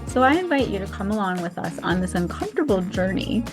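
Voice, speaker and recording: A woman's voice: mid-range voice, very animated, fast talker, music in the background. A woman hosting a podcast, introducing the show and her guest in a chatty, self-correcting, encouraging way. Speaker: podcast host.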